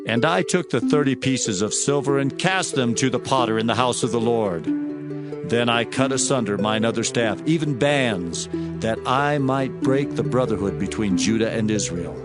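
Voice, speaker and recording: A man's voice: medium pitch, animated, normal speed. A man giving a solemn, declamatory dramatic narration of scripture. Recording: music bed behind the voice.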